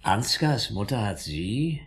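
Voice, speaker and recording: A man's voice: on the low side, sing-song, takes it slowly. A man acting in a German radio drama as a sardonic, sceptical police inspector, mocking and probing in his questioning.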